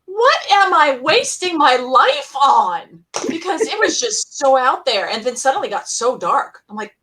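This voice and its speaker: A woman's voice: sits high, very animated, a little slow, bright, forceful tone, low-quality recording. A woman chatting casually as a podcast panelist, acting out her reactions as she talks. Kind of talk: casual fan chat.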